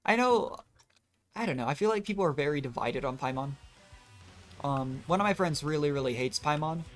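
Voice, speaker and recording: A man's voice: on the high side, animated, fairly quick. A young man giving casual, rambling live commentary as a game streamer. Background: game music.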